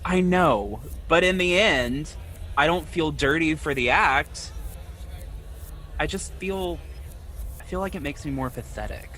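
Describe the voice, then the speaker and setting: Man acting lonely, halting twenty-something, higher-pitched. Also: pushed and bright, a little hiss or hum. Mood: sad, ashamed. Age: 20s. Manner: halting, confessional, repeats himself. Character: lonely, ashamed, self-blaming man of twenty-six. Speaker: podcast host acting in own audio drama. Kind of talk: audio drama dialogue.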